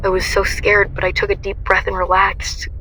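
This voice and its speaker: A woman's voice: medium pitch, completely flat delivery, moderate pace, sharp tone, a little hiss or hum, natural voice, rough recording. A young woman playing a battered heroine who narrates her ordeal dramatically in a radio play. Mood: frightened, tense. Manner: dramatic storytelling.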